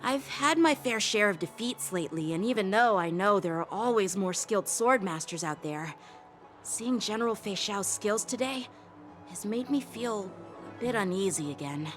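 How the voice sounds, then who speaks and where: A woman's voice: medium pitch, lively intonation, normal speed, a bit bright, soft background music, close to an everyday voice. A woman voicing a polite, earnest, self-doubting teenage boy swordsman in an anime-style video game. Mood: humble, uneasy.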